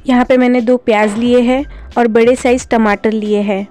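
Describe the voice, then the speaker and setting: A woman gives a calm, step-by-step recipe voice-over for her home cooking channel. Her voice sits a little high but sounds soft and mellow. Faint music plays under her talk. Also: moderate pace. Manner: calm, instructive, homely explanations.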